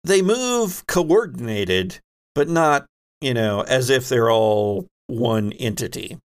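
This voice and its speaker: A man's voice: medium pitch, animated, takes it slowly, clear, resonant tone. A man narrating a tabletop roleplaying game as game master, speaking conversationally and descriptively with wry asides.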